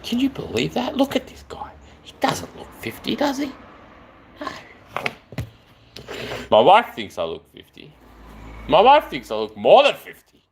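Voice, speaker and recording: A man's voice: high-pitched, huge pitch swings, a little slow, gravelly, pauses often, a little hiss or hum. A man, chatting in a rambling, affectionate way on a live stream. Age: 40s.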